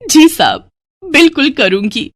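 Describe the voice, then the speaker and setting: A woman's voice: sits high, wildly swooping pitch, a little slow, a bit bright, no echo, studio quality, voice pushed up, full character voice. A woman voicing an eager, devoted young wife.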